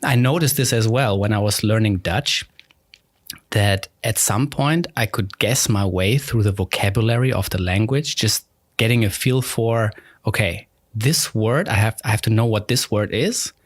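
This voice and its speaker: A man's voice: fairly low pitch, normal speed, dry recording, studio quality. A German man hosting a podcast, talking casually in a rambling, self-deprecating way with many restarts. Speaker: podcast host. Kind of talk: casual conversation.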